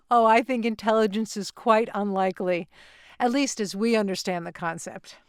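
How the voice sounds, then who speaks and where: A woman's voice: medium pitch, fairly even intonation, normal speed, smooth, dry recording, clean studio sound, close to an everyday voice. A woman playing an unflappable, businesslike alien real estate agent in an audio play, with a salesy delivery. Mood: cautioning.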